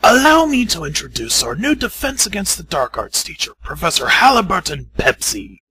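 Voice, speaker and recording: A man voicing an old headmaster with a husky, rough voice, announcing theatrically in an amateur parody reading. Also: high voice, sing-song, moderate pace, a crowd in the background, rough recording. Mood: salesmanlike. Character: old male school headmaster, grandly selling out to sponsors. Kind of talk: amateur dramatic reading of parody fan fiction.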